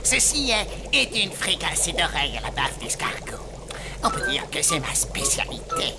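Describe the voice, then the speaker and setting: Swooping, ghoulish cartoon cook. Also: male voice, on the high side, huge pitch swings, normal speed, over loud music. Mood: proud. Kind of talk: French-dubbed children's cartoon acting.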